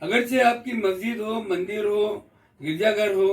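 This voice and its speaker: A man's voice: high voice, unhurried. A man with an Indian accent giving an informal explanatory talk, methodical, repeating and rephrasing his points. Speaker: speaker explaining court rules to the public. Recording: voice distant from the mic.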